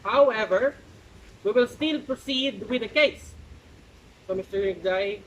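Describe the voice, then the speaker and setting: A presiding judge acted by a man speaks at an extremely high pitch with a Filipino accent. He is formal and procedural, as in an amateur mock trial, with faint noise behind him. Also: unhurried, fairly bright tone. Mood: formal, commanding. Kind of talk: amateur courtroom role-play. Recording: faintly noisy amateur room recording.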